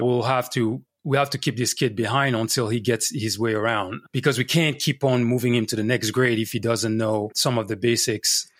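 A man from Cameroon, a guest on a podcast, telling a personal story in an unhurried, thoughtful way. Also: mid-range voice, dry recording. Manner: unhurried, thoughtful, frequent restarts. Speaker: podcast guest, life coach. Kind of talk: casual interview storytelling.

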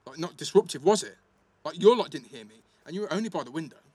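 A man's voice: a little high, expressive, fast talker, mellow, natural voice. A young man playing a defensive, sweary teenage schoolboy in a naturalistic audio drama, with an East Midlands English accent and a blunt, slangy delivery. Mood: aggrieved venting. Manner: blunt, slangy, full of tag questions.

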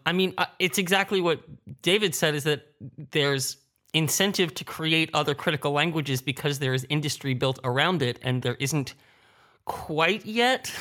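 A man's voice: a little high, sharp tone. A man speaking candidly in a casual roundtable discussion, thinking aloud and correcting himself as a creator on the panel. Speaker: audio drama creator and sound designer, roundtable panelist.

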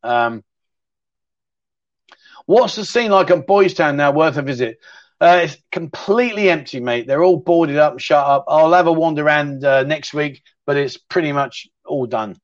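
A man's voice: a little high, expressive, moderate pace, no room sound, muffled recording. A middle-aged man, casually hosting a livestream chat show and answering viewer questions in a rambling, matey way.

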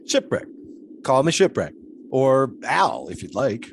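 An actor playing an eccentric, philosophical 1920s daredevil in an audio drama, serenely cryptic and teasing, with ornate phrasing. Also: male voice, mid-range voice, huge pitch swings, a little slow, vocal fry, a little hiss or hum, exaggerated voice.

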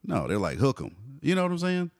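A man in his forties, a hip hop artist telling stories in a relaxed interview, dropping "you know" often. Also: a little high, quick pace, dry recording, studio quality. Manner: laid-back storyteller, frequent "you know". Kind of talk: casual conversational interview.

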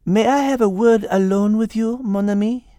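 Man voicing a high-pitched, refined French lady cat. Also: normal speed, dark tone, no room sound, clean studio sound, lightly acted. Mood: gentle, saddened. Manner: precise, learned, sprinkles in French phrases. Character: refined, clever, gentle French female cat. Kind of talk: audiobook character acting.

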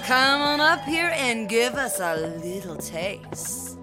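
A woman's voice: a little high, sing-song, slow, music in the background. A woman playing a decisive, showy rap battle host, making announcements in a comedy audio drama. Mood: announcing. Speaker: contest emcee.

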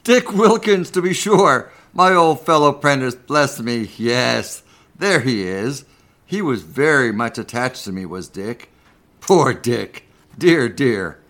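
A man's voice: medium pitch, lively intonation, slow pace, a bit bright. A man playing an emotional, remorseful old miser in a radio drama, with exclamatory, agitated delivery. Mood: fond nostalgia. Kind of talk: live community radio drama acting.

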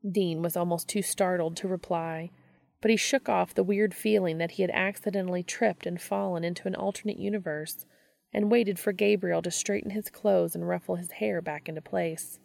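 A woman's voice: lowish voice, steady tone, normal speed, vocal fry, darkish tone, dry recording. A woman reading fiction aloud as a narrator, in scripted audiobook style. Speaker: reader of fan fiction audio episodes.

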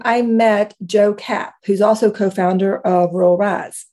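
A woman with an Appalachian accent, a guest on a podcast, telling her story at length with warmth and enthusiasm. Her voice sits at a middle pitch in a plain conversational delivery. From rural Appalachia, West Virginia. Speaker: guest, co-founder. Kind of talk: conversational interview answer.

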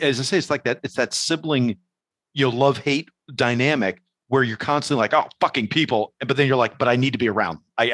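A man from New York City hosting a casual conversation, telling stories with animated energy and swearing freely. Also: mid-range voice, no room sound. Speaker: podcast host.

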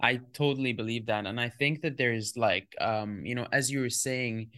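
A man's voice: medium pitch, moderate pace, no room sound. Male podcast host, dry close mic, chatty rambling questions full of repeats. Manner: warm, rambling, stacked repeated words and fillers. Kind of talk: casual interview hosting.

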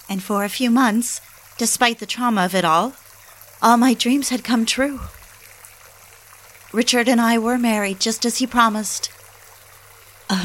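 A woman's voice: on the high side, natural voice. An actress playing a wistful, obsessive young newlywed who confides her story in genteel, literary dramatic narration. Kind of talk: first-person dramatic narration for an audio drama. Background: background music.

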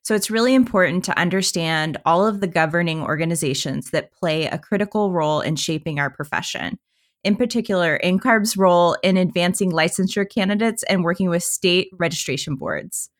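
A woman's voice: lowish voice, brisk. A woman co-hosting a podcast, welcoming guests and asking questions in an upbeat, curious way. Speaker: podcast co-host.

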